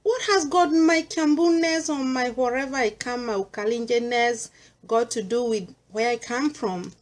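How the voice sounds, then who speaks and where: A woman's voice: on the high side, normal speed. A middle-aged Kenyan woman with a Kenyan accent, speaking earnestly in a personal monologue and mixing English with Swahili. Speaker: vlogger sharing personal views.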